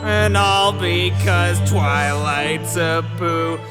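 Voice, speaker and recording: A woman plays a sulky, crude caroler in a comic audio story, drawing out a parody carol. The voice is on the low side and very slow, with loud music over it. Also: little pitch movement. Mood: sulky, mocking. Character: grumpy, crude caroler desperate for a bathroom.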